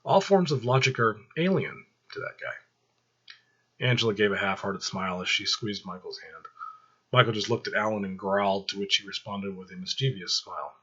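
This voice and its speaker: A man narrating fiction aloud in an expressive storytelling style. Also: on the low side, normal speed, husky, dull audio.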